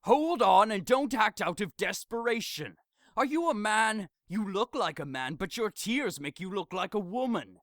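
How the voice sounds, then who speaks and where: A man's voice: high-pitched, animated, moderate pace, fairly bright tone, no room sound, studio quality. A man playing an exasperated, scolding priest in a modern-language Shakespeare audio drama, speaking emphatically.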